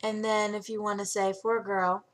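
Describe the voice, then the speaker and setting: A woman's voice: medium pitch, little pitch movement, moderate pace. A young adult American woman casually presenting a beginner language lesson to peers. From United States. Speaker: presenter of Arabic lesson, learner of Arabic. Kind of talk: casual peer teaching.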